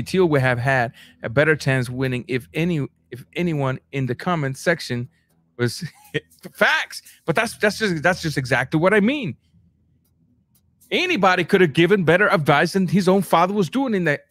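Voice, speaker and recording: A man from Cuba, hosting a casual boxing livestream and reading viewer comments, animated, stumbling over his words and jumping up in pitch. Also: moderate pace. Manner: animated, repeats words and restarts, rising to high bursts.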